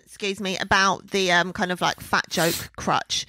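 A woman's voice: fairly low pitch, fairly even intonation, measured pace, sharp tone, no echo. A woman co-hosting a podcast, giving frank, opinionated takes in casual chat. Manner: frank, opinionated, laughs easily. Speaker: podcast co-host. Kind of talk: casual chat reviewing a TV show.

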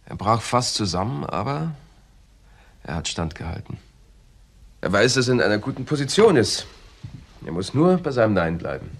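An actor playing a blunt male police detective in a crime drama, husky and pointed in his questioning. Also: fairly low pitch, very animated, normal speed, pauses often, full character voice. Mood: resigned. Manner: pointed, direct. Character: blunt, accusing junior police detective, man.

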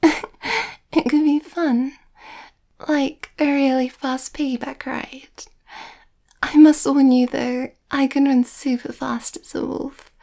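A woman acts out a shy, overthinking young werewolf girlfriend in an ASMR roleplay. Her voice is high, mellow and breathy, often close to a whisper. She speaks slowly and hesitantly, sweet and bashful. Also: fairly even intonation, exaggerated voice. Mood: playful, shy.

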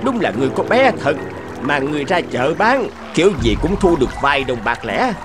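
A man voicing a grumpy, gullible old fisherman in an animated fable, with exaggerated grumbling. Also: a little high, brisk, music in the background.

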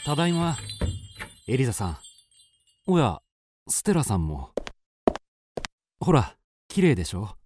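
A man's voice: mid-range voice, big pitch swings, measured pace, long pauses, noisy background. An actor playing a courteous, wistful and persuasive male traveller in a radio drama, polite and poetic. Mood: gentle, courteous.

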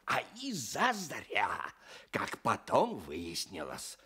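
A man's voice: on the low side, wildly swooping pitch, takes it slowly, bright, forceful tone, husky, exaggerated voice. An actor playing a pious, sorrowful old village stove-builder in a radio play, telling his story earnestly with folksy sighs. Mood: sighing, lamenting. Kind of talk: Soviet radio play acting.

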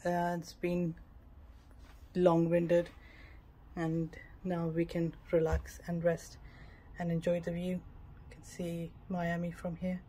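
A woman's voice: on the low side, monotone, takes it slowly, mellow, low-quality recording. A woman travel vlogger giving a casual, matter-of-fact tour narration of a small cabin. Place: mostly a small cruise ship cabin. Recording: clear background ambient noise.

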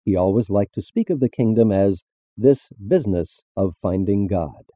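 A man with a low voice narrates a religious text formally. His intonation moves up and down a good deal. The sound is narrow and phone-like. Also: animated, moderate pace, dry recording. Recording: muffled phone-like band-limited audio.

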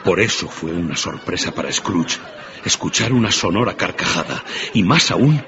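Male narrator for dramatic storytelling: low voice, muffled recording, musical backing. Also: expressive, normal speed, background noise.

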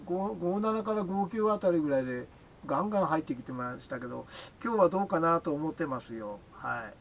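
A man with a high voice makes a polite ham radio contact. The audio is thin and narrow, with faint noise behind it. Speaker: amateur radio operator.

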